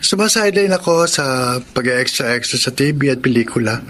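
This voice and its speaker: A man's voice: mid-range voice, animated, moderate pace, a bit bright, slight background noise, natural voice. An actor playing a casual, easygoing young male job seeker in a Tagalog radio drama.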